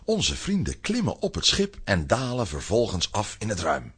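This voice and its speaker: A man's voice: fairly low pitch, huge pitch swings, normal speed, a bit bright, breathy edge. A man narrating a children's audio play in a scripted storytelling style.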